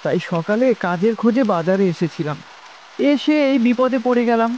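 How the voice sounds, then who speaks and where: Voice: mid-range voice, expressive, a little fast, darkish tone, dull audio, natural voice. A performer playing a poor, anxious middle-aged village father in a cartoon, pleading and sorrowful. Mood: desperate pleading. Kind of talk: cartoon story dialogue. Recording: noisy, with rain behind.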